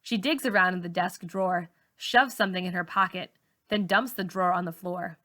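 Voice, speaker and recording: A woman reading a novel aloud as a teacher would, in expressive first-person story narration. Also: lowish voice, brisk.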